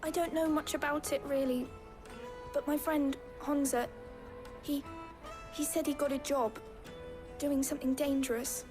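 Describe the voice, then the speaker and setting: A woman's voice: high-pitched, level tone, unhurried, long pauses, music in the background. A woman voicing a nervous, timid child in a fantasy video game, hesitant and stammering. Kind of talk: dramatic video game acting.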